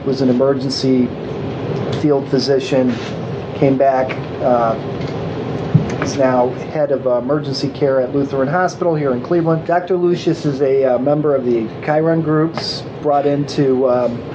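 A man giving a halting, informal introduction of his tabletop game character. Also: mid-range voice, steady tone, lo-fi sound, background noise. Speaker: tabletop roleplaying player.

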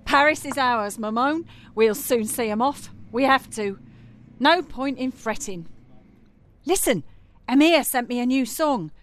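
A woman's voice: high voice, lively intonation, a little slow. A woman playing a feisty young street singer, spirited and defiant. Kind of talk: radio drama acting.

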